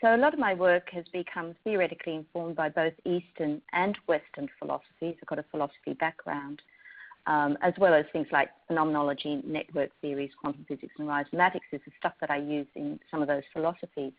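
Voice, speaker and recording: Australian woman academic, low voice, hesitant with ums, dull lo-fi recording. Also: steady tone, fast talker. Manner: thoughtful, frequent ums and restarts. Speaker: university lecturer. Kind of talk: prepared talk to educators.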